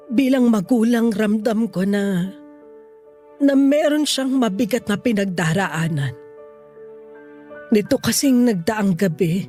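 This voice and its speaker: Slow-speaking, weary old grandmother. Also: fairly high pitch, mellow. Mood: gently pleading. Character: sick, weary, tender grandmother near sixty, forgiving. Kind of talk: Tagalog radio drama acting.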